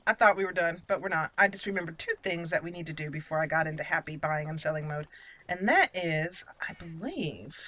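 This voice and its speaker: A woman's voice: deep voice, fairly quick, bright, forceful tone. A woman hosting a game playthrough, chatting casually and playfully as she thinks aloud. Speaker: gaming channel host.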